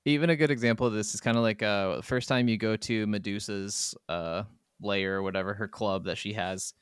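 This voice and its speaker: A man's voice: lowish voice, steady tone, fairly quick, clean tone, dry recording, studio quality. A man co-hosting a gaming podcast, chatting informally and telling anecdotes with casual swearing. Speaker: podcast co-host.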